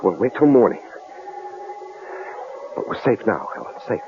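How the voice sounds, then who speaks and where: A man playing a protective, devoted husband in an old-time radio drama, gravelly and reassuring, halting when tender. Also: on the low side, animated, narrow, tinny audio, natural voice. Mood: reassuring, determined. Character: protective, resourceful, devoted husband held captive. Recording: hissy, band-limited old radio drama recording.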